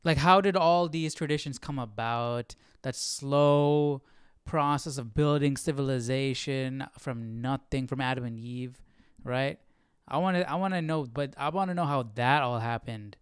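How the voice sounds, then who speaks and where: Clear-voiced man musing casually. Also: medium pitch, a little slow, no room sound, studio quality. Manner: informal, musing. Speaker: host of a Bible study stream. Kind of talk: casual reading aloud with off-the-cuff commentary.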